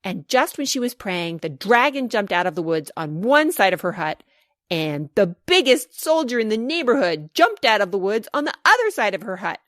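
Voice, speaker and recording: Young boy storyteller played by a woman narrator, excitable, suspenseful, very animated, storybook reading. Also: mid-range voice, normal speed, no echo, clean studio sound. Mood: excited storytelling. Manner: dramatic, emphatic, building suspense. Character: excitable young boy breathlessly telling a dragon tale.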